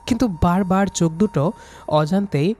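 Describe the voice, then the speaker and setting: A man's voice: high voice, normal speed, darkish tone. Young guy telling a first person story w/ acted lines, some music under it. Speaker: story narrator playing the student hero. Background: background music.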